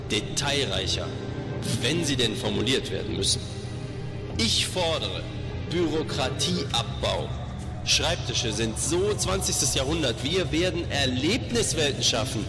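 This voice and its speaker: Pompous visionary boss in a booming hall. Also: male voice. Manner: pompous, visionary speechifying with dramatic pauses. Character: grandiose male agency boss preaching modern work visions. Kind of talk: motivational speech in an audio drama. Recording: long hall reverb, loud music behind.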